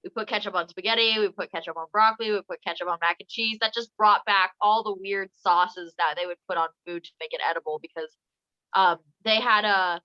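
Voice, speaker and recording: A young adult host running a playful, casual home interview with silly sing-song asides. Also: female voice, mid-range voice, steady tone, fairly quick, bright, forceful tone, dull audio. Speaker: podcast host.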